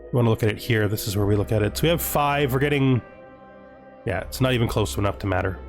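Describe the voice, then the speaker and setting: A man's voice: fairly low pitch, fast, faint music behind. A man giving casual let's-play commentary on a strategy game, talking through his decisions to himself and often checking them with a questioning tag. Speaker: gaming video host playing a strategy game.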